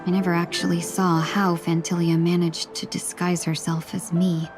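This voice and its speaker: An actress voicing a gracious, refined young woman in a video game, speaking politely in a measured, gentle, wistful way. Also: fairly low pitch, little pitch movement, natural voice. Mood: wistful, troubled. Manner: polite, measured, softly formal. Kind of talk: English video game voice acting. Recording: game audio with music underneath. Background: background music.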